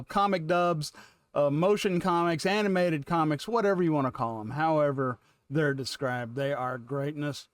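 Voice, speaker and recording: Effusive male reaction host, higher-pitched. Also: clear, resonant tone, no echo. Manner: rambling, effusive. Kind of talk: casual enthusiastic commentary.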